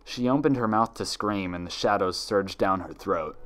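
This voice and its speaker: A man reading dark fan fiction aloud as dramatic, scripted horror narration. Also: on the low side, moderate pace.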